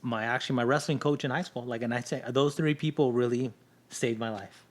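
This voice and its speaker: A man's voice: mid-range voice, steady tone, fairly quick. A middle-aged man whose first language is Spanish, a guest on a casual podcast, telling his story candidly and often checking in with "right?". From Southern California. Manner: candid storytelling, frequent "right?" tag questions.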